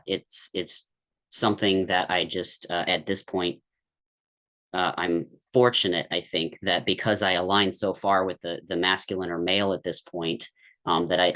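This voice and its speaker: A man with a very low, deep voice speaks candidly as a podcast guest. He wanders through his thoughts, restarting sentences and leaning on fillers as he goes. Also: pushed and bright. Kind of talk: candid conversational podcast talk.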